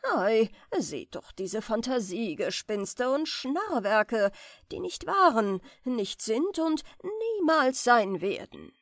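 A woman's voice: on the high side, very animated, takes it slowly, no echo, studio quality, full character voice. Woman playing a pompous, scolding know-it-all critic. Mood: indignant, pompous.

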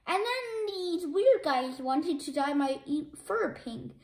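A woman's voice: very high-pitched, expressive, slow, darkish tone, reverberant, heavily acted. A woman voicing a small, innocent kitten boy who chats eagerly and earnestly in a storybook.

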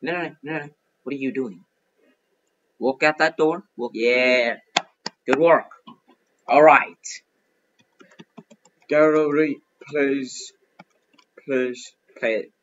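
A man's voice: medium pitch, slow, long pauses, muffled recording, a little hiss or hum. A man giving casual, informal gameplay commentary as the host of a gaming video, recorded at a computer.

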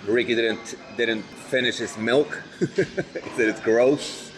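A man's voice: mid-range voice, steady tone, takes it slowly, soft background music, rough recording. A man hosting a casual food vlog from a restaurant table, easygoing and repeating words as he thinks aloud. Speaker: vlogger host.

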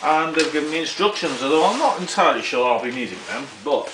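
Male British vlogger for casual unboxing: animated, jokey, self-muttering; distant mic, heavy noise. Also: on the high side, fast talker. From United Kingdom. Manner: jokey, muttering to himself as he works. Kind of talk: casual unboxing and assembly commentary. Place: indoors in a concrete-floored garage workshop. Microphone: camera mic a short distance away. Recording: roomy, distant mic, very noisy with clicks.

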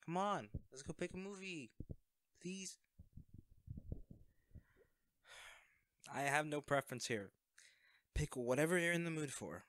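A man's voice: a little high, animated, moderate pace, a bit bright, no room sound. A man acting a young superhero in an audio roleplay, a sheepish, caring best friend who speaks conversationally and a little hesitantly. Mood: playful pleading.

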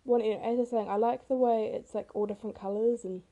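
A teenage girl with a rough, hoarse voice, casually narrating her own speed painting in a chatty, self-deprecating way. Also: a little high, steady tone, fast talker, phone-quality sound. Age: teens. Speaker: art vlogger. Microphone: camera mic.

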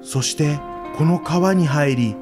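An actor playing a gentle, devoted young Jomon-era village man in a radio drama. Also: fairly high pitch, slow pace. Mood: reminiscing. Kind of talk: radio drama acting with storytelling narration. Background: instrumental music.

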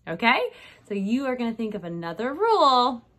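Expressive woman teacher with lively, swooping pitch. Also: brisk. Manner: expressive, addressing children directly with check-in questions. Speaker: kindergarten teacher. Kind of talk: read-aloud storytelling for young children. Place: small decorated indoor room.

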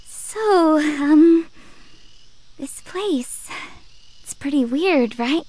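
A woman's voice: high-pitched, lively intonation, very slow, darkish tone, no echo, full character voice. A woman voicing an eager, timid teenage girl in a visual novel, sweet and politely hesitant. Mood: nervous, polite.

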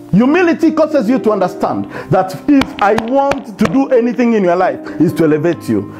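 Animated pastor preaching over music. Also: male voice, on the high side. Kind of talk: sermon. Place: indoor studio set before a plain wall. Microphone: lapel mic.

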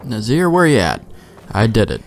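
A man's voice: lowish voice, huge pitch swings, normal speed, faint music behind. A man giving casual, sweary let's play commentary, thinking aloud in a laid-back way. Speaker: gamer, let's play host.

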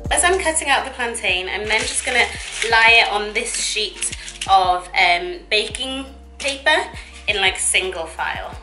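Young female cooking vlogger, casual and playful, clear music and pan sizzle behind her. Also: mid-range voice, expressive, measured pace. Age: young adult. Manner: chatty, informal, playful asides. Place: home kitchen, indoors. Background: music, sizzling food, stirring.

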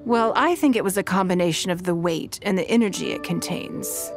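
A woman voicing a calm, shrewd, wealthy noblewoman in a game, speaking in a measured, commanding way, with music behind her. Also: lowish voice, close to an everyday voice. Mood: reflective. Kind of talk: game dialogue voice acting.

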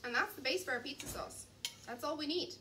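A woman's voice: high voice, fairly quick. A young woman in her twenties giving a chatty, encouraging cooking demonstration while she chops and mixes in a large kitchen. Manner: chatty and encouraging, talks while chopping and mixing. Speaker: cooking instructor. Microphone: camera at a distance. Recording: distant mic, faint background noise.

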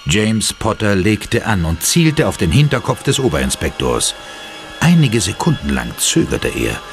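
A man's voice: fairly low pitch, sing-song, a little slow. A man giving dramatic scripted narration for a German horror audio drama. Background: music.

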